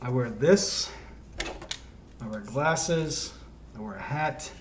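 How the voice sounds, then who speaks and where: Hoarse man rambling in a monologue. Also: mid-range voice, takes it slowly, a little hiss or hum. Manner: wandering, self-interrupting, earnest. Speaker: self-styled prophet vlogger. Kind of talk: rambling solo monologue to camera.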